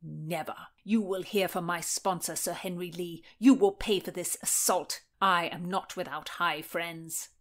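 A woman's voice: lowish voice, fairly even intonation, measured pace, hardly any pauses, a bit bright. Woman as a sour, hot-tempered young Tudor nobleman. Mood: indignant, spluttering. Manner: spluttering, indignant, cursing. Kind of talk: audiobook character dialogue.

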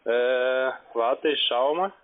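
A man's voice: medium pitch, slow. Non-native man on a noisy phone line. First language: not German. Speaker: call-center agent. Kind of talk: phone sales call. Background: call-center floor noise.

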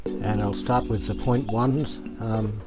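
A man giving casual, unhurried commentary as he works, thinking aloud. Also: lowish voice, steady tone, over loud music. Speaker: host, radio restorer. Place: small cluttered indoor workshop. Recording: clear background noise, home workshop.

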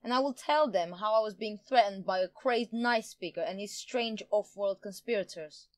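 A woman's voice: fairly low pitch, normal speed, no room sound, lightly acted. A woman playing a smug, power-hungry politician in an audio drama, pompous and theatrical in manner. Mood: manic glee. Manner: theatrical, pompous, self-satisfied. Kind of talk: audio drama villain acting.

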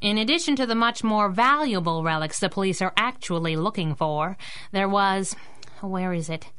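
An actress playing a tough, young, wisecracking superhero sidekick, sassy and slangy, in a 1930s-style radio drama. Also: female voice, mid-range voice, normal speed, fairly bright tone, clean tone, lightly acted. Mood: wry.

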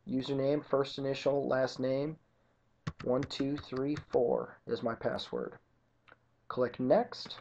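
A man narrating an informal software tutorial as an instructor, explaining each step methodically. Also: medium pitch. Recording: dull lo-fi computer screen-recording audio.

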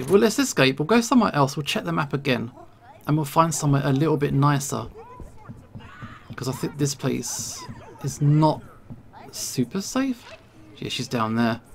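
A video game streamer talks through play in a let's play style. The voice sits at a medium pitch with plenty of gravelly fry. The delivery is jittery and anxious, like someone muttering to themselves as they go. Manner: nervous, jittery self-talk.

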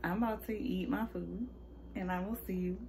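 A woman's voice: on the low side, steady tone, moderate pace, dark tone, slight background noise. A young adult woman with an American accent, chatting casually to camera in an informal, slangy way as a vlogger.

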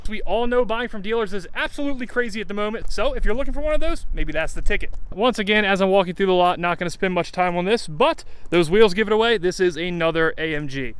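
Texan male vlogger, high pitch, wry handheld walkaround outdoors. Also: animated, clean tone. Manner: wry, candid, quick asides. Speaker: salvage car buyer and vlogger. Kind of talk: casual walkaround commentary. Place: outdoor salvage auction lot. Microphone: handheld camera at arm's length.

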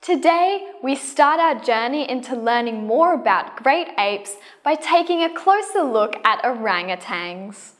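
A young woman presents scripted educational science narration to camera, enunciating carefully. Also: high voice. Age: young adult. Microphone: lapel.

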